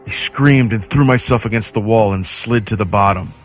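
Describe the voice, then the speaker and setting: A man narrating a creepy horror story in a dramatic, ominous manner with deliberate pauses. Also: lowish voice, faint music behind.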